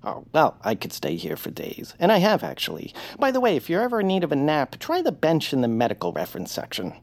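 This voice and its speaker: A woman's voice: low-pitched, huge pitch swings, brisk, vocal fry, full character voice. A woman voicing a fussy, earnest, timid male peepkin who loves books, in an audio drama. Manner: fussy, earnest, soft-spoken.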